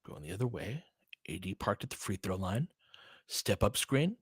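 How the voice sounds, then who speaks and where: A man's voice: on the low side, very animated, normal speed, husky, no echo, studio quality. A man giving live basketball play-by-play mixed with casual, long-winded analysis in a conversational, explanatory way.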